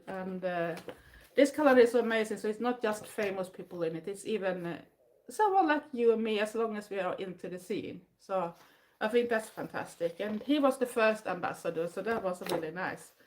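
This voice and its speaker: An older woman with a Swedish accent from the southwest of Sweden chats casually to the camera. She speaks English as a second language, hesitating with frequent fillers and restarting phrases. Her voice sits at an ordinary middle pitch. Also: normal speed, a little mellow. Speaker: vlogger. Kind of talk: casual chat.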